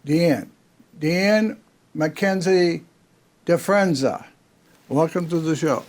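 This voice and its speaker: A man's voice: fairly high pitch, slow, darkish tone. An older man hosting a casual community TV interview, joking and telling anecdotes. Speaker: talk show host.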